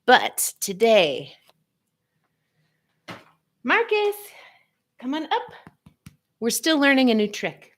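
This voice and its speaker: A middle-aged woman hosting a casual live stream, playful and chatty, greeting viewers by name with high swoops in her voice. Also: huge pitch swings, slow pace, long pauses. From United States. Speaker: livestream host, physical therapist. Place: small office.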